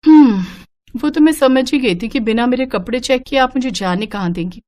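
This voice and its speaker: A woman's voice: fairly high pitch, moderate pace, darkish tone, pitched above the performer's own voice, close to an everyday voice. A woman voicing a young, modern, defiant new daughter-in-law in a cartoon story. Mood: knowing. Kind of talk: animated moral-story cartoon dialogue.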